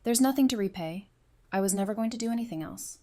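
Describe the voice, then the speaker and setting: A woman's voice: a little high, lively intonation, fast, warm tone, natural voice. A woman playing a caring but hurt psychiatrist in an audio drama, speaking in a candid, conversational way. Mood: reassuring. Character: caring psychiatrist woman, weary and hurt, wryly self-deprecating.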